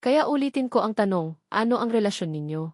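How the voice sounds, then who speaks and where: A woman's voice: on the high side, lively intonation, brisk, darkish tone, no room sound, clean studio sound, lightly acted. A woman voicing a cold, suspicious professional man who questions formally in an audio story. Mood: skeptical, pressing. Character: cold, professional male lawyer, commanding and suspicious.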